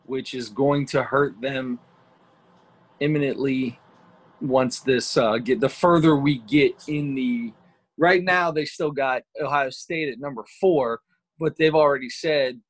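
A man of middle pitch talks casually about sports. He rambles on in an upbeat way, like a positive thinker. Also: unhurried, low-quality recording. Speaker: podcast co-host.

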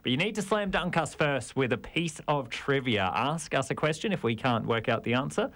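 A man's voice: medium pitch, lively intonation, moderate pace, fairly bright tone. Australian man hosting breakfast radio. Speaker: radio breakfast show host. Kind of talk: casual breakfast radio chat. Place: radio studio.

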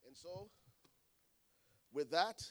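A man preaching a sermon to a congregation, swinging between hushed lines and shouted ones. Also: high voice, expressive, very slow. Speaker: pastor. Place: church in front of a congregation.

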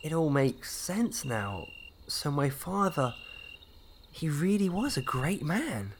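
With crickets and night noise around him, a bewildered everyman acted by a man stammers through a comic horror audio play. His voice is nervous and hesitant, jumping about in pitch. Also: big pitch swings, slow, exaggerated voice. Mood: stunned. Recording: night insect ambience, clear noise.

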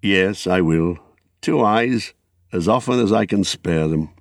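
A man plays a grave old male wizard from a storybook. His voice is gravelly and closely recorded, and he speaks deliberately, with patient, stern thoughtfulness. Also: low-pitched, dry recording, clean studio sound. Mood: thoughtful. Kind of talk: dramatised storybook reading.

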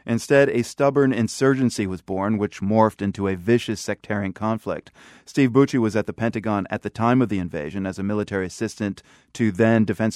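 A man hosting a radio news program, reading narration and asking calm, measured questions. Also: on the low side, no room sound, clean studio sound. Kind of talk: news interview and scripted narration. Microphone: studio microphone.

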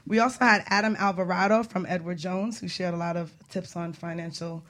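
Female radio host, bright forward voice, chatty and upbeat, informal talk-show delivery. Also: fairly low pitch, steady tone, fairly quick. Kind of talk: casual talk show hosting.

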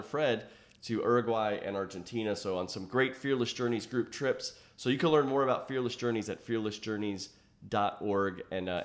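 A middle-aged man hosting a podcast interview, talking in a rambling way with many fillers. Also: fairly low pitch. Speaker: podcast host.

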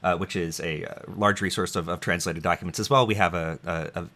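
A man's voice: deep voice, steady tone, brisk, pushed and bright. A man interviewing an archive director, speaking with many fillers and restarts. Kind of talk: interview conversation.

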